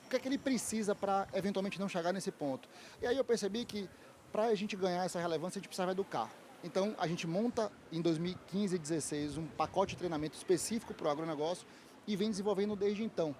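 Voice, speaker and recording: A man in his thirties with a high voice speaks quickly and fluently, answering interview questions in a polished, articulate way. He is at a fair stand outdoors, with faint outdoor noise behind him. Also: darkish tone. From Salvador, Bahia, Brazil. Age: 30s. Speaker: lawyer and institute founder, guest.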